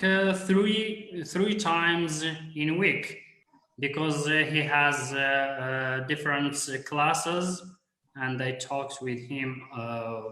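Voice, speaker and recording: A man's voice: on the high side, sharp tone, echoey room, low-quality recording. A man, speaking in a hesitant way with many fillers as a guest on a video call. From Morocco. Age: 30s. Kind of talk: casual video call chat.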